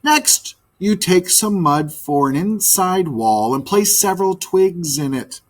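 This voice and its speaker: An exasperated storybook magpie schoolmistress, acted out by a man, bossy and deliberate. Her words are stretched out slowly, and the pitch climbs and drops as she instructs. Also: animated, heavily acted. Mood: instructing. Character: frustrated female magpie teacher, bossy and exasperated. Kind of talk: storybook character voice.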